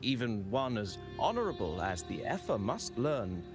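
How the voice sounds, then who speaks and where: A man voicing an enigmatic, poetic stranger in a video game, speaking in measured, ornate, theatrical phrases. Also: medium pitch, very animated, music in the background. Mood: cryptic, knowing. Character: enigmatic poetic male stranger warning of power.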